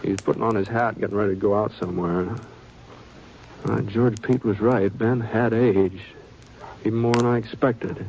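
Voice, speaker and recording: A man's voice: lowish voice, fairly even intonation, normal speed, darkish tone, lightly acted, rough recording. An actor playing a modest drifting cowboy gunman who narrates and talks with a hesitant, drawling stammer in a 1950s radio drama. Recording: old radio broadcast with faint hiss.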